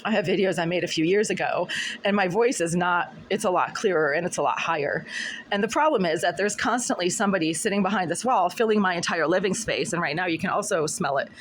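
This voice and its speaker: A woman's voice: lowish voice, quick pace. Scratchy-voiced woman, insistent monologue. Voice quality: scratchy, husky voice. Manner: agitated, insistent, repetitive. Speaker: vlogger. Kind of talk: monologue to camera. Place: apartment room.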